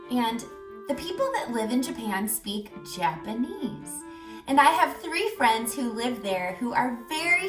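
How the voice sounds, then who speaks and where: A woman with a fairly high voice reads aloud to young children in a teacherly, expressive way. Her delivery swings widely in pitch, and she pauses to question and explain. She sounds a bit far from the mic in an echoey room, with music behind her. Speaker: reading teacher.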